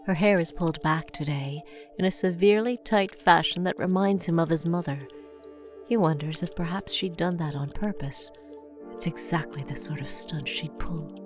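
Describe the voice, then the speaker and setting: Female narrator for dark audio drama, played by a woman: very low voice, solemn, measured, over a music bed. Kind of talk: scripted dramatic narration, present tense.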